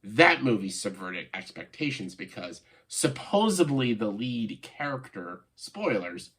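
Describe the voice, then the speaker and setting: A man with a stuffed-up, nasal voice from a cold, giving casual, opinionated video commentary in a halting way, stressing key words and often saying "like". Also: on the low side, sing-song, fairly bright tone, slightly rough. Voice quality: congested, nasal voice. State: congested, stuffed-up nose after a cold. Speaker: video host, film commentator.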